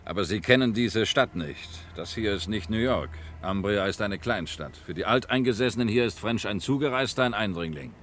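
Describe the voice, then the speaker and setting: A man playing a fatherly, worried small-town lawyer with a measured, explanatory manner in a radio play. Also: on the low side, expressive, a bit bright. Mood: grim. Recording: faint noise on old radio recording.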